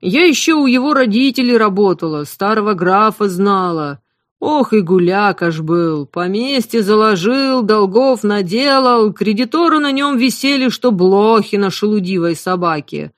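A woman's voice: mid-range voice, expressive, normal speed, no echo, clean studio sound, lightly acted. A woman reading an audiobook voices a huge motherly female cook with a drawling, sing-song folksy manner. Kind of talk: audiobook character voice.

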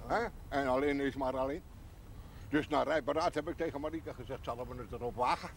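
A man's voice: mid-range voice, lively intonation, fairly quick, gravelly, slight background noise. A man playing a jolly, blunt old village man, teasing and folksy, in a television drama. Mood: jovial teasing. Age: older. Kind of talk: television period drama acting.

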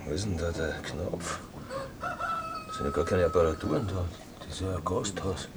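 A man playing a weary, patronizing late-night radio host in a radio drama, with an Austrian accent and a halting, distracted way of talking. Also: deep voice, very noisy. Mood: bewildered, flustered. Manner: murmured interjections, halting, distracted.

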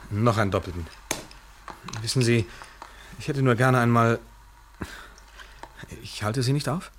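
An actor in a German radio play as an uneasy, lonely bar customer, speaking in a subdued, hesitant, absent-minded way. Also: male voice, mid-range voice, measured pace, lightly acted. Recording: faintly noisy old radio recording.

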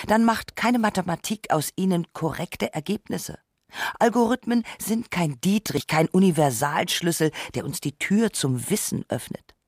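A second narrator reading a scripted radio essay in a deliberate, reflective way. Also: medium pitch, dry recording, studio quality.

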